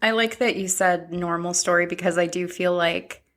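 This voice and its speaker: A woman in her thirties hosting a podcast, chatting in a reflective, halting way. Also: lowish voice, steady tone, fairly bright tone. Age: 30s. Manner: reflective, speaks in short halting phrases. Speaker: podcast host. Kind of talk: casual chat.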